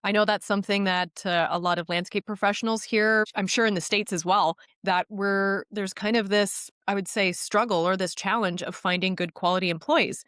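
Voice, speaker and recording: A woman's voice: medium pitch, fairly even intonation, normal speed, hardly any pauses, fairly bright tone, smooth, no echo, clean studio sound. A woman hosting a casual podcast interview, talking in a friendly, enthusiastic way. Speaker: podcast host, landscape designer.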